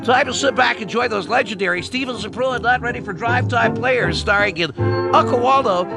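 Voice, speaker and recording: A very high-pitched, gushing announcer, played by a man, sets up a radio comedy with theatrical mock earnestness. Loud music runs behind the voice. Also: fairly quick, vocal fry. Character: gushing, tongue-in-cheek announcer of a radio sketch.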